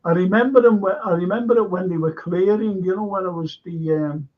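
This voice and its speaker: A man's voice: sits high, a little fast. An older man with a Liverpool accent, hosting a radio chat show and telling rambling local history stories. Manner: rambling storyteller, acts out quoted voices. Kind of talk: casual radio chat, reading listener messages. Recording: dull lo-fi sound.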